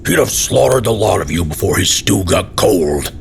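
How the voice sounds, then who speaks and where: A man voicing a gruff, gravelly tavern keeper, stern and unyielding, in a fantasy story. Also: low voice, expressive, normal speed, a little hiss or hum, voice pushed up, exaggerated voice. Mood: scornful.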